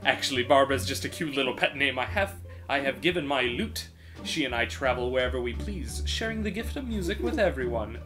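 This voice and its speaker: A man's voice: medium pitch, animated, fairly quick, music in the background, close to an everyday voice. A man voicing a relentlessly cheerful, rambling wandering minstrel with a sing-song delivery, in a storybook reading. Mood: jolly, boastful.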